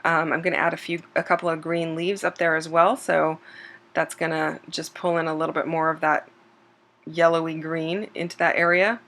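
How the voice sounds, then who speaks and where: A slightly hoarse woman hosting a scrapbooking channel, chatting casually over a craft process video with plenty of ums and self-corrections. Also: low voice, moderate pace. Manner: relaxed and chatty, with frequent um fillers and self-corrections. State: slightly hoarse, losing her voice.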